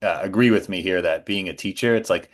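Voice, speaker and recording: A man in his forties chatting casually as a podcast guest, thoughtful and a little halting as he phrases things. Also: low voice. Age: 40s. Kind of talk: casual chat.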